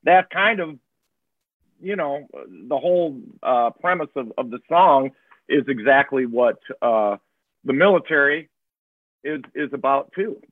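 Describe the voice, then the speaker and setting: A man's voice: medium pitch, lively intonation, no room sound. A man, a guest guitarist, answering hesitantly with many fillers in a casual interview. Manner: hesitant, halting, heavy on fillers. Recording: dry tinny phone-like line.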